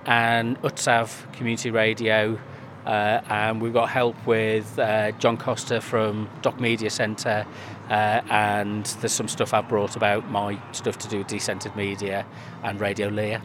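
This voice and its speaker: A man's voice: lowish voice, level tone, measured pace, noisy background. A man talks about community media at an outdoor event. He is chatty and hesitant, with many fillers and restarts. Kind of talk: casual interview answers.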